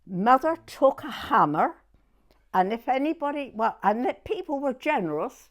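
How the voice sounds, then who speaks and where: Elderly southern English woman, lively high-pitched voice. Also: normal speed. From southern England. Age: 90s. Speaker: oral history interviewee. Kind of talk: personal reminiscence in conversation.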